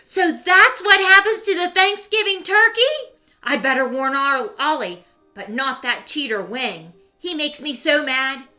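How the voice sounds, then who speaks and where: A woman voicing an excitable, worried turkey in a children's storybook, exclaiming urgently. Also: high voice, unhurried, faint music behind, voice pushed up, heavily acted. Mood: alarmed. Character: excitable, worried turkey who hatches plans. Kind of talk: children's storybook read-aloud.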